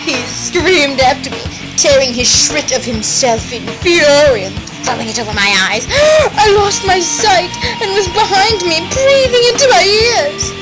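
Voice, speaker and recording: High-pitched theatrical woman narrator. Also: very animated, a little slow, over loud music, low-quality recording. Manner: theatrical, exaggerated emphasis. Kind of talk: mocking dramatic reading of fanfiction.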